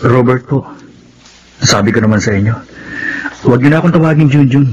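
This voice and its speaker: A man's voice: medium pitch, fairly quick, darkish tone, dull audio, a little hiss or hum, lightly acted. An actor playing a protective young Filipino son and brother in his twenties in a radio drama. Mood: mildly annoyed. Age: 20s.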